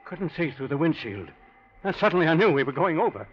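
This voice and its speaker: Nervous, guilty professor, swooping pitch. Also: male voice, sing-song, husky. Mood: shaken, exhausted. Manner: stammering, halting, anxious. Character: nervous guilty professor faking shock after an accident. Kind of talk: 1940s radio acting. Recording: dull old radio recording.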